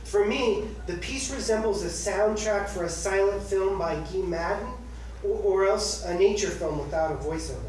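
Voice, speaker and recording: A man's voice: high voice, moderate pace, a little mellow. A man in his thirties giving a live literary reading of his own personal essay in a conversational, wry way. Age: 30s. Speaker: author reading from own memoir. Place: indoor book-launch reading room with audience. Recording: echoey room from far off, faint noise.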